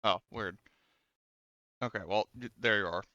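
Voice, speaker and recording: A man's voice: lowish voice, unhurried, sharp tone, no room sound, highs cut off. A man casually narrating an online tabletop game as game master, hesitant and rambling, with many false starts.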